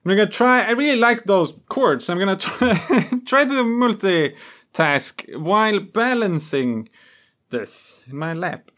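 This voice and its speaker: A man's voice: high voice, lively intonation, a little slow, a bit bright. A man in his thirties talking in a chatty, enthusiastic way, like a casual demo vlog, into a desk microphone in a small studio. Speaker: music gear video creator, host. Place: small home studio room full of instruments. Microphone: desk microphone on a boom arm. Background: synth music.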